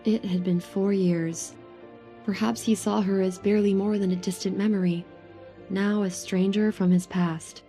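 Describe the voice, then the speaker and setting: Mellow female romance narrator. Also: mid-range voice, fairly even intonation, normal speed, soft background music. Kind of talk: scripted romance audio drama narration.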